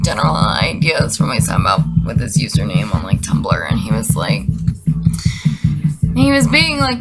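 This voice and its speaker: A woman with a fairly low voice that often drops into vocal fry. She talks casually and sarcastically, like a comic vlogger, swearing freely and swinging her pitch around, with music clearly playing behind her. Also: poor audio. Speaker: vlogger reading out messages she received. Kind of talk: casual comedic monologue.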